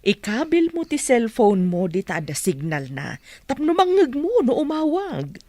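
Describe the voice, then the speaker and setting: Woman acting a nagging, animated scheming mother. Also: fairly high pitch, normal speed, no room sound. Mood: scornful, advising. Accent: Ilocano. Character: middle-aged mother, nagging, scheming, sharp-tongued. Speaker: actress in radio comedy drama. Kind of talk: Ilocano radio comedy drama acting.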